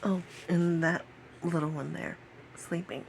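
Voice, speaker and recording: Gravelly, slow-talking woman in her late thirties. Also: fairly low pitch, fairly even intonation, a little hiss or hum, rough recording. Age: late 30s. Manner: halting, repeats phrases, drops to a whisper at times. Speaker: vlogger. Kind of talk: personal talk to camera.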